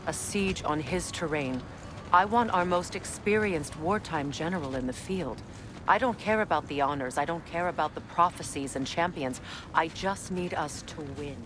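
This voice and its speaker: A woman voicing a resolute, commanding warrior queen in a video game, speaking in a firm, measured way. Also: deep voice, music in the background, natural voice. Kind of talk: cinematic video game acting. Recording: loud ambient noise behind.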